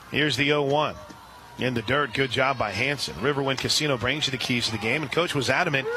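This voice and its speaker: A man doing radio play-by-play for a college softball game, steady and informative, reading sponsor spots between pitches. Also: background noise.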